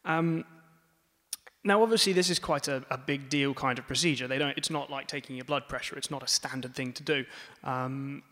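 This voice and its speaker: A man's voice: mid-range voice, fairly even intonation, moderate pace, sharp tone. French-accented man telling a story in a hall. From France. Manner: chatty and self-mocking, many ums. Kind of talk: live personal storytelling to an audience. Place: museum event space. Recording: live hall with long reverb.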